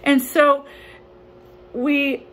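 A woman in her sixties, a pastor preaching a sermon from her living room into a close-up phone camera, speaking slowly and earnestly in a conversational way with a few fillers. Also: high-pitched, level tone, fairly bright tone, slight background noise. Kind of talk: home-recorded sermon. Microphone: phone or webcam close up.

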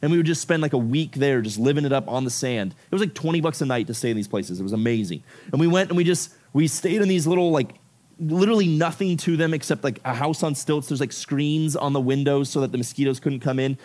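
A man's voice: a little high, fast. A man from Ecuador giving a youth sermon to a room of about fifty teens. He talks casually and keeps dropping in "like" and "right?". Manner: casual, animated, filler-heavy with frequent "like" and "right?". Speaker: youth pastor.

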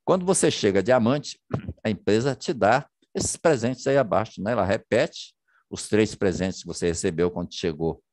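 A man presents slides over a video call, talking in a lively, swooping voice. He keeps asking rhetorical questions and checking in with his listeners. The sound is close and dry, with no room around it. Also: fairly low pitch, normal speed, dry recording. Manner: chatty, many checking tags and rhetorical questions. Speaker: business presenter. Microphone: computer mic on a video call.

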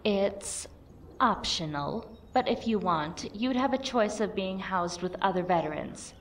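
A woman's voice: mid-range voice, lively intonation, far from the mic, slight background noise, lightly acted. A woman playing a blunt, composed lieutenant in an audio drama, speaking formally and matter-of-factly. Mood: formal, persuasive. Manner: formal, measured, matter-of-fact. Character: blunt, composed female lieutenant recruiting for a program. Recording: off-mic voice under music.

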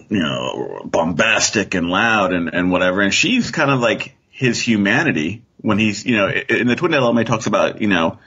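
Guy guest on a podcast, bright forceful voice, lots of up and down, hedges his takes, bit lo-fi. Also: on the low side, breathy edge. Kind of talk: casual opinionated TV discussion. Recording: slightly dull lo-fi sound.

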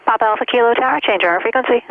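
An air traffic controller giving clipped, procedural instructions in rapid phraseology over an aviation radio. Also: medium pitch. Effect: aviation radio transmission. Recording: tinny narrowband aviation radio.

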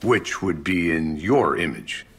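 An actor playing a dry, deadpan male investigator in a video game. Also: low voice, slow, husky, exaggerated voice. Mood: dry, skeptical. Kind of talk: dramatic video game acting.

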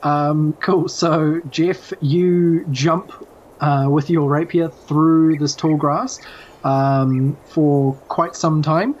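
A man's voice: on the high side, steady tone, extremely slow, a little mellow, lo-fi sound. Man improvising as game master. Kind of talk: improvised tabletop game narration.

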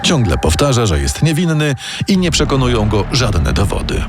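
A man's voice: medium pitch, wildly swooping pitch, normal speed, loud music behind the voice. A man delivering scripted, dramatic true-crime narration as the show's narrator.

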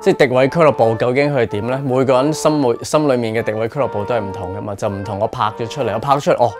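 A man's voice: medium pitch, racing. Hong Kong Cantonese man, casual interview, music behind. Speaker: radio drama writer and performer being interviewed. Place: small radio studio.

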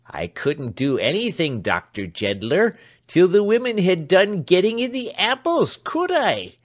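A man's voice: on the low side, very animated, very slow, sharp tone, heavily acted. A man voicing a small, sour, discontented servant who argues back grumpily. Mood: grumbling. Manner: grumbling, argumentative.

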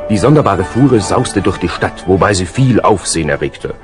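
A man's voice: lively intonation, moderate pace, over loud music. A man narrating a children's adventure radio play as a wry storyteller. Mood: amused storytelling. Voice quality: deep, husky.

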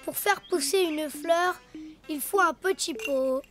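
A performer voicing a cheerful, eager little boy donkey in a preschool cartoon, with a childlike, expressive delivery. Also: very high-pitched, takes it slowly. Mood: cheerful, busy. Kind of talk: preschool cartoon voice acting in French. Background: cartoon music.

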